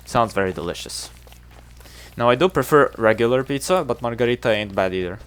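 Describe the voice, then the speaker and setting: A man's voice: medium pitch, animated, fairly quick, fairly bright tone, smooth. A man from Serbia with a Serbian accent, chatting casually to his viewers while streaming a game, rambling and self-deprecating. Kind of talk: casual livestream chatter with chat.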